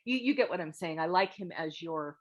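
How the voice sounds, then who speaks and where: A woman hosting an online group critique, giving frank, thoughtful script feedback in a halting, casual way over a video call. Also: fairly low pitch, expressive. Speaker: host of a table read meet-up giving script feedback. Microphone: video call microphone.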